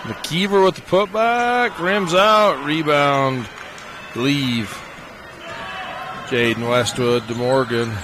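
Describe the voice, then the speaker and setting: Unhurried male play-by-play caller over clear court noise, punches up on made shots. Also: on the high side. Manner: rapid name calls, rising on made shots. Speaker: play-by-play announcer. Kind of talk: basketball play-by-play commentary. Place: gym at a high school basketball game. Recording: game broadcast with gym noise. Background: crowd and court noise of the game.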